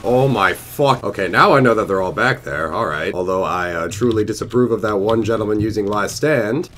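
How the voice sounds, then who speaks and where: A man's voice: on the low side, fairly quick, fairly bright tone. A young man in his twenties with a congested, slightly nasal cold voice, chatting casually and swearing over his gameplay from a headset at his bedroom desk. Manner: chatty, swears a lot, bursts out at the game. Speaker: gaming YouTuber. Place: small bedroom gaming desk. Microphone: gaming headset.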